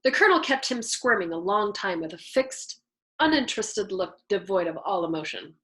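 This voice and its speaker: Female narrator for fiction read-aloud: expressive, very lively intonation, bright forceful tone. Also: medium pitch, normal speed. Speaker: storyteller, show host. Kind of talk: expressive audiobook-style narration.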